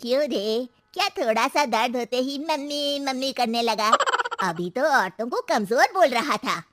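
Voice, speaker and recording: High-pitched, feisty old mother-in-law, acted by a woman. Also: expressive, measured pace, heavy background noise. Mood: triumphant, scornful. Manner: brash, scolding, sarcastic. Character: bold, feisty, teasing elderly Indian mother-in-law. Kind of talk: children's story cartoon acting.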